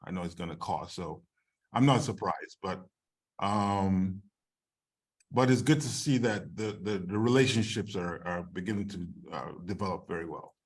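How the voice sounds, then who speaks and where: A man with a fairly low voice talks as a screenwriter and filmmaker. He gives informal, conversational answers in an online question-and-answer session. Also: moderate pace, breathy edge. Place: online video call.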